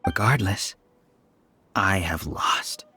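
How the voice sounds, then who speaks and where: A proud, resentful male rival scholar from a video game, speaks in a fairly low voice. His delivery is dramatic and deliberate, full of wounded pride. Faint music sits behind the words. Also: bright, forceful tone, breathy edge, heavily acted. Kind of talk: video game character dialogue.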